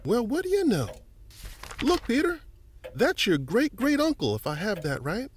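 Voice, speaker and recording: A folksy, middle-aged local history volunteer in a family audio drama. His voice sits high and swings with animated, avuncular explanation. Faint noise lies behind it. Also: moderate pace, exaggerated voice. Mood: musing, explanatory. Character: friendly, folksy older male museum volunteer and newspaper editor.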